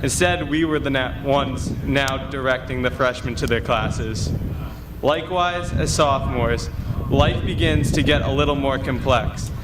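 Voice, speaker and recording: A man's voice: mid-range voice, a little slow, very noisy. A young man in his late teens reading a prepared graduation speech as a student.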